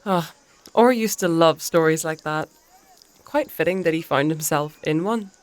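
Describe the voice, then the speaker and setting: A composed, wryly teasing priestess. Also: female voice, low voice. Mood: amused, teasing. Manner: measured, articulate, playfully dry. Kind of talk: dark fantasy audio drama acting.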